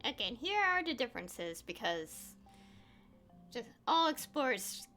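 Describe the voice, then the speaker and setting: A woman's voice: a little high, big pitch swings, measured pace, sharp tone, soft background music. A woman giving casual, offhand game commentary, chatting informally to her viewers. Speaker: gaming video creator.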